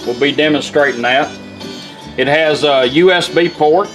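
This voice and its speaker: A man's voice: fairly high pitch, moderate pace, over music. A man giving an informal, folksy product demonstration, explaining how things work as he goes. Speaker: radio restorer demonstrating a product.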